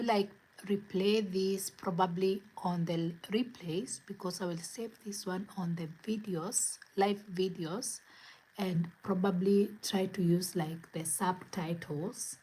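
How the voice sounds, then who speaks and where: A woman from Kenya hosting a spiritual livestream from home, speaking in gentle, repetitive affirmations. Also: lowish voice, slight background noise, rough recording. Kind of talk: inspirational livestream talk. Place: home, where it is late at night.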